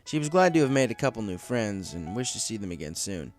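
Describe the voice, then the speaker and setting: A man's voice: fairly low pitch, very animated, brisk, faint music behind. A man reading a story aloud as scripted narration. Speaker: story reader.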